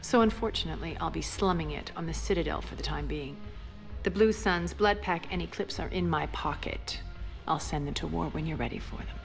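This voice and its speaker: Haughty crime boss, slightly low voice, big reverby hall, game dialogue. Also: female voice, a little fast, gravelly, soft background music. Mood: smug, cool. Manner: cool, haughty, wry. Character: imperious, sly female underworld crime boss. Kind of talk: video game voice acting.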